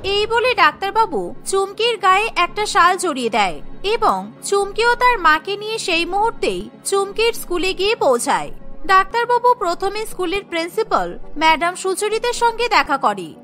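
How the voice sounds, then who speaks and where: Woman story narrator: piercing high pitch, animated, dramatic, music bed underneath. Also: normal speed. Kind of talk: scripted cartoon story narration.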